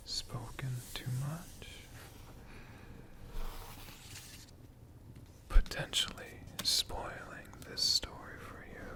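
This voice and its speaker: A man playing a friendly, bookish dragon, telling stories in a hushed, whispered and soft-spoken ASMR style with long, deliberate pauses. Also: medium pitch, vocal fry. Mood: wistful, confiding. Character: friendly male dragon shopkeeper, gentle bookish storyteller. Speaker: ASMR host.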